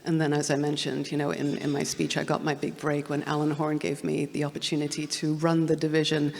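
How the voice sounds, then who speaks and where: A woman from Hong Kong speaking on a panel, halting with many fillers and restarts. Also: sits low, completely flat delivery, creaky, a little mellow, a little hiss or hum. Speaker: panelist, studio distribution president. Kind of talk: panel discussion. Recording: long hall reverb.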